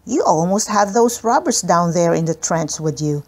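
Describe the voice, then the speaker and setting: A woman's voice: lowish voice, lively intonation, normal speed, darkish tone. A woman reading the part of a resourceful young girl in a children's book, practical and instructing. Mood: explaining.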